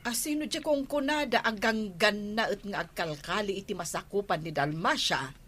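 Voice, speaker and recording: An actress playing an inquisitive, fretting village official's wife with a gossipy, questioning manner in a radio comedy drama. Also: female voice, medium pitch, normal speed, a bit bright, faint music behind, close to an everyday voice. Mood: concerned, nosy.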